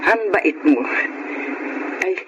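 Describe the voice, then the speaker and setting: A woman's voice: on the low side, expressive, drawn-out words. A woman playing a grateful, generous, well-off businesswoman in Filipino radio drama, effusive and insistent. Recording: noisy radio drama recording.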